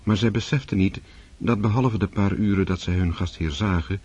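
Rich: A deep, husky male narrator tells the story in a measured, scripted way. The recording sounds dull and lo-fi. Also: darkish tone.

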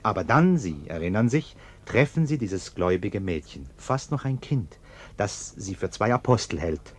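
An actor playing a defensive, sententious middle-aged bourgeois husband in a German radio play, blustering and indignant. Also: male voice, on the low side, big pitch swings, moderate pace. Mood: earnest.

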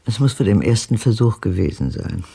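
An older actress playing a haunted, wistful elderly woman in a radio drama, husky and gravelly, hesitantly recalling her past. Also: rumbling low, expressive, dark tone, heavily acted. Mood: haunted, reflective. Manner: hesitant, halting, reflective. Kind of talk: German radio drama acting.

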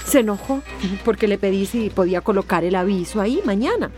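An actress playing a spiteful, vengeful mayor's assistant in a radio drama, complaining indignantly. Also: female voice, medium pitch, expressive, brisk, a little mellow, faint music behind, natural voice. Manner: indignant, complaining, deferential to boss.

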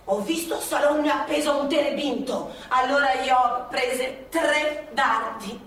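Stage drama: spirited, exasperated Milanese working-class woman, high voice, emphatic delivery, heavy room echo. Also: a little slow, distant mic. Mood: eager recounting. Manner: emphatic, animated storytelling. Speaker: stage actress. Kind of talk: live stage monologue and dialogue, theatrical. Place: indoor theatre stage, distant microphone. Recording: roomy theatre recording from afar, faint noise.

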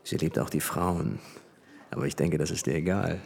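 An actor playing a flippant, worldly young French aristocrat and army officer in a radio play, chatting with easy familiarity. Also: male voice, rumbling low, moderate pace, creaky, a little mellow. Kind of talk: German radio play dialogue. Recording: long hall reverb.

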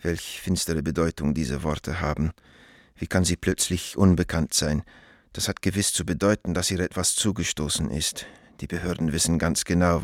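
An anguished middle-aged letter writer in a radio drama. His voice is very low and recorded close and dry. He speaks formally and gravely, pleading with an old friend in measured, urgent phrases. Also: no echo.

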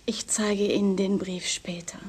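A husky, mellow seductress. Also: female voice, mid-range voice, flat delivery, unhurried. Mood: teasing, coy. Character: seductive, mysterious woman, self-assured, softly coaxing. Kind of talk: 1990s German crime radio play acting.

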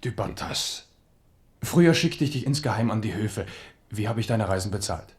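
An actor in a German radio play playing a genial, earthy king who talks in a familiar, theatrically expressive way. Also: on the low side, normal speed, lightly acted. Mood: wistful, subdued. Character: genial, earthy king, warm with commoners and old comrades.

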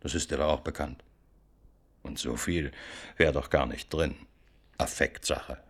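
An actor in a German radio play voicing an insistent, needling police interrogator who swings between threats and chummy, colloquial coaxing. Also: male voice, extremely low pitch, fairly even intonation, a little slow, breathy edge, exaggerated voice. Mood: coaxing, cynical. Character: pushy, cajoling male police interrogator, by turns threatening and chummy.

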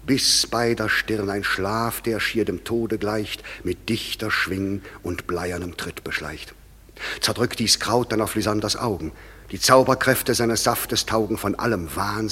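A man's voice: fairly low pitch, fairly bright tone, husky. An actor playing a solemn, commanding fairy king who declaims verse in a stately way in a radio play. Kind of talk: classic German radio play acting. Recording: long reverb with music underneath.

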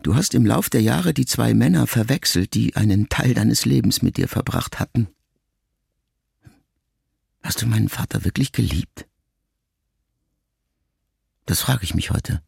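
Deep, gravelly male audiobook narrator. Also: expressive, darkish tone, dry recording, studio quality. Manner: measured, reflective, intimate first-person address. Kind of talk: scripted literary narration. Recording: music clearly under the voice.

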